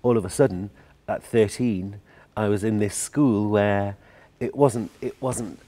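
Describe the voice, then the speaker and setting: A man's voice: fairly low pitch, steady tone, measured pace, dark tone. A middle-aged man with a Geordie accent, a choreographer chatting in an interview, telling his story with restarts and laughs. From Newcastle, England. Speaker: guest choreographer. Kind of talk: casual interview chat.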